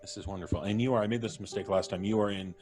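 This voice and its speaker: A man hosts a live stream, chatty and full of jokes as he brings on each guest. His voice sits on the low side, and faint music plays in the background. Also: fairly even intonation, rapid-fire. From Canada. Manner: jokey, chatty, introducing guests with laughs. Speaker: host. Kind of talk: casual livestream chat.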